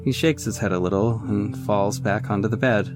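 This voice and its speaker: A man's voice: lowish voice, normal speed, music in the background, close to an everyday voice. A man narrating an audio drama as its reflective hero, in scripted storytelling style. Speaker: narrator and protagonist.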